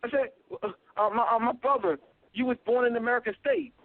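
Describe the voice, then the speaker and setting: A man giving an insistent talk, stopping often to fill pauses with ums and uhs, heard over a phone line. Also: extremely high pitch. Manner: insistent, repetitive, many filler ums and uhs. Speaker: guest speaker. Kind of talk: call-in lecture, talking to a host. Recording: tinny phone line, dry.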